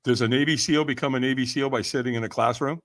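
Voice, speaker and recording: Older male podcast guest. Energetic, emphatic raconteur with casual slang, voices both sides of remembered exchanges. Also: mid-range voice, fast talker, soft background music. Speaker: podcast guest, firewalking event leader. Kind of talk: energetic storytelling in casual interview.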